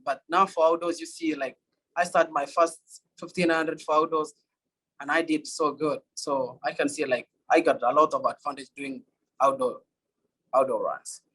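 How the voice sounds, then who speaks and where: Husky-voiced Kenyan young man. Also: fairly high pitch, a bit bright, low-quality recording. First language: Swahili. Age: young adult. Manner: halting, many fillers and restarts. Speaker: college runner. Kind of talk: interview answers.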